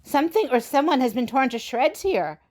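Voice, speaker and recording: A woman's voice: high-pitched, very animated, normal speed, a little mellow, slight background noise. A woman playing a determined, businesslike investigator in a radio drama. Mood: alarmed. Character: determined woman investigator seeking missing people.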